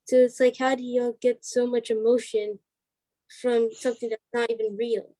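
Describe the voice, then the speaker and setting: A woman's voice: fairly high pitch, level tone, moderate pace, mellow, rough recording. A woman chatting casually as an interview guest, speaking with emphasis. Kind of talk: casual chat.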